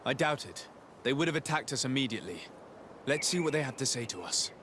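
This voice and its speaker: A man's voice: medium pitch, animated, brisk, sharp tone, a little hiss or hum. An actor playing a composed young nobleman diplomat in a video game, speaking in a polite, formal, articulate way. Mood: calm, practical.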